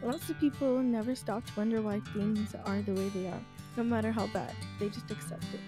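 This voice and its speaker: A woman's voice: mid-range voice, steady tone, fairly quick, over music, natural voice. A woman acting a resolute young male student protester in an amateur radio drama. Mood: reflective.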